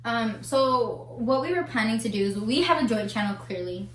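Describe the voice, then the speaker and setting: A woman's voice: fairly high pitch, animated, normal speed. A young woman whose first language is Spanish, chatting casually and candidly as a co-host over a meal. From United States, family from Colombia. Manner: candid, swearing, earnest. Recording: echoey room.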